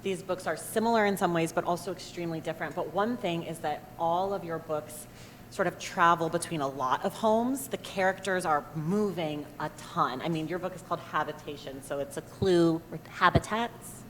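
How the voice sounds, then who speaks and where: A woman moderating a panel discussion, chatty and quick to banter with the authors. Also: on the low side. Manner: lively, conversational, quick to banter. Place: festival stage before an audience. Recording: long hall reverb, faint noise.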